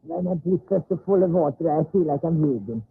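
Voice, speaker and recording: A man playing a folksy, grumbling comic cowboy sidekick with a drawling, gravelly rasp in an old radio western. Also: a little high, lively intonation, a little fast, exaggerated voice. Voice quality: raspy, gravelly. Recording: tinny band-limited old radio recording.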